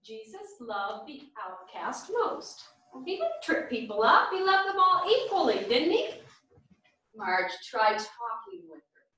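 A woman playing a devout, caring elderly grandmother in a staged play reading, deliberate and expressive. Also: sits high, heavily acted. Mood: earnest, concerned. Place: small theatre stage, seated at music stand. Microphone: far camera. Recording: very echoey theatre stage from far off.

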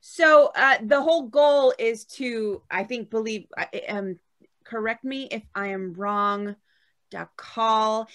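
A woman with a forceful, bright voice, pitched slightly high, plays a storytelling game. She talks casually, improvising and checking rules with the group. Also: takes it slowly. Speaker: player in a tabletop story game. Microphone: group video call.

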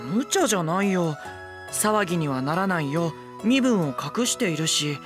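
Gentle, eager young nobleman for anime, male actor: boyish, polite, very expressive intonation over music. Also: a little high, normal speed, lightly acted. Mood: gentle, earnest. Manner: soft-spoken, polite, earnest. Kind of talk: anime voice drama acting.

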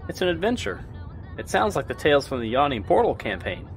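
A man's voice: mid-range voice, big pitch swings, fairly quick, a faint crowd. Man as a clingy nerdy teenage boy, eager, swooping intonation, audio drama, music behind. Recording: music under the voice.

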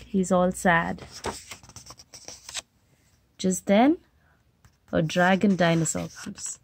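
A woman's voice: fairly low pitch, steady tone, drawn-out words, creaky, a little hiss or hum. A woman reading a children's picture book aloud as a storyteller, acting out the dialogue expressively.

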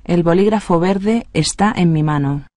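A voice reading short phrases as prompts in an even, neutral drill delivery. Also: medium pitch, moderate pace, no room sound, studio quality. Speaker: Spanish phrase reader. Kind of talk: read-aloud language drill.

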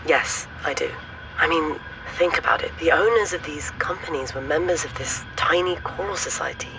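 An actress playing a brisk, cautious woman researcher in an audio drama phone conversation. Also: low voice, background noise. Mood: brisk, concerned.

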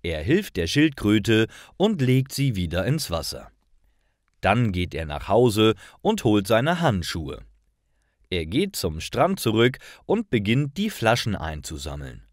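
Slow, expressive low-voiced male story narrator. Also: dry recording, clean studio sound. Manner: careful, deliberate enunciation. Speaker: language-course narrator. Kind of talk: scripted story narration for language learners.

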